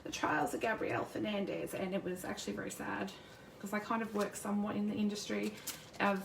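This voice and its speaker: A woman with a croaky sore throat chatting casually and rambling as she demonstrates crafting live, greeting viewers by name. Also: mid-range voice, flat delivery, brisk, slight background noise. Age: adult with a son. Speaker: crafting demonstrator, youth worker. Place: home craft desk. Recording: roomy distant mic at a craft desk.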